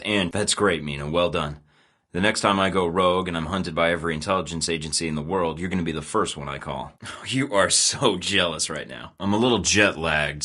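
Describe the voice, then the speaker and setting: A cocky young American secret agent from a video game, with a very low voice that stays cool under pressure. He tosses off dry, wry quips between short, firm demands. The delivery is confident and teasing. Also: sharp tone. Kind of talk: game voice acting.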